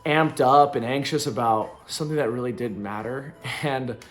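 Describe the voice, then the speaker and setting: A man's voice: medium pitch, normal speed, faint music behind. A man of thirty talking loosely to camera in a casual reflective vlog, rambling with plenty of ums and likes. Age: 30s. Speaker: vlogger. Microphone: camera in front of him.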